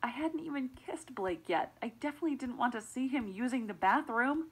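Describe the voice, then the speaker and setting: A woman playing an easily embarrassed, lovestruck teenage schoolgirl in confiding animated story narration, played back from a laptop. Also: fairly high pitch, a little fast, husky. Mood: anxious. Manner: confiding, self-deprecating. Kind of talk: scripted first-person animated story narration.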